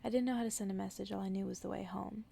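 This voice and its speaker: A woman reads a story for ASMR listeners in a very gravelly, fry-heavy voice at a middling pitch. She speaks softly and often slips into whispering, as a bedtime narration. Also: fairly even intonation, racing. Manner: soft-spoken, often dropping into whisper. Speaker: ASMR host reading a story aloud.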